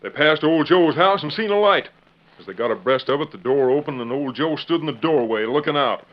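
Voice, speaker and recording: A man's voice: a little high, expressive, fairly quick, natural voice. A stern, plainspoken western lawman, acted by a man in a radio western, talking in folksy, matter-of-fact cowboy speech. The old recording sounds dull and muffled. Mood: skeptical. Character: plainspoken, stern but regretful western jail guard. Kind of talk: 1940s radio western acting.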